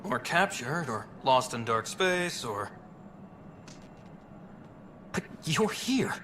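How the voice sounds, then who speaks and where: A man's voice: medium pitch, big pitch swings, slow pace, pushed and bright, slight background noise, exaggerated voice. A man playing a flustered, apologetic space station worker in a video game, hesitant and earnest. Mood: stunned relief.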